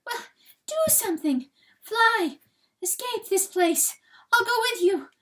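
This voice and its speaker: A woman voicing a frightened female hen who pleads and wails in a high voice. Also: animated, slow pace, a bit bright, recorded from a distance, exaggerated voice. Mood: desperate pleading.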